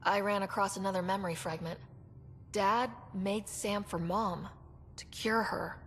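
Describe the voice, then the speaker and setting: A woman's voice: mid-range voice, fairly even intonation, a little slow, fairly bright tone, big-room sound, slight background noise, close to an everyday voice. An actress playing a young woman explorer in a video game, wry and easygoing, with a dry sense of humor. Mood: subdued. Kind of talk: video game cutscene acting.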